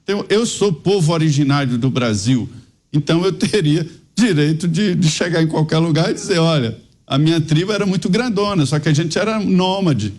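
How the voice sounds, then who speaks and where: A man's voice: fairly high pitch, fairly quick, a little mellow. Emphatic mineiro man arguing politics. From Minas Gerais, Brazil. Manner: emphatic, argumentative, rambling asides. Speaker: political commentator. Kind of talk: panel debate commentary.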